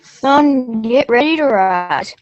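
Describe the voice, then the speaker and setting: A woman's voice: a little high, lively intonation, takes it slowly, dull audio. A woman playing a folksy, bold frontier hunter in a readers theater piece for children, with a declamatory delivery. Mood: earnest, rallying.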